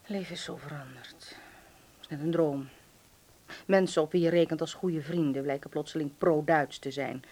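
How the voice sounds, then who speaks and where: An actress playing a strict, distraught middle-aged mother in a wartime radio play, swinging between hushed dismay and sharp scolding. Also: low-pitched, moderate pace. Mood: stunned, subdued.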